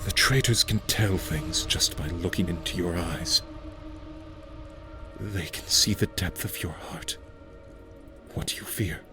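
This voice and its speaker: An actor playing a grieving uncle who once kept an orphanage, speaking slowly in a hushed, halting way. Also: male voice, deep voice. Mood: grave. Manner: slow, hushed and halting, rising to anguish. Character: grieving older male orphanage keeper, haunted. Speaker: uncle, former orphanage caretaker. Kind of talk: fan audio drama acting. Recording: music score behind.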